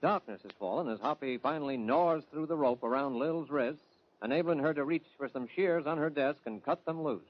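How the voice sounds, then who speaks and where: A man's voice: fairly high pitch, fairly quick, lightly acted. A male actor as an earnest narrator delivering dramatic scripted narration for an old radio western. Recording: dull lo-fi old radio recording.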